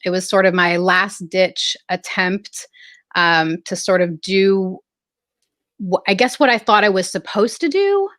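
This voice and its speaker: Woman guest, from southern california, thoughtful and halting, dry close sound. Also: mid-range voice, animated, a bit bright, no echo. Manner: reflective, halting, many fillers. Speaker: guest artist. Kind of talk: casual interview storytelling.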